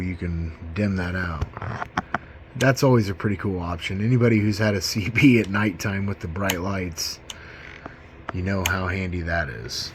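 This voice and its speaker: Middle aged guy doing a laid-back hobby demo video, lowish voice, folksy and into it, bit of background noise. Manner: folksy, enthusiastic, informal. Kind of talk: casual product demonstration.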